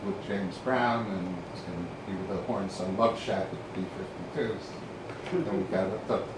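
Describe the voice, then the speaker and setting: Older male horn player guest, low voice, animated anecdotes, caught far off in a very echoey, noisy room. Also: a little slow. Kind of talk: casual chat. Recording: very echoey room, distant mic, background noise.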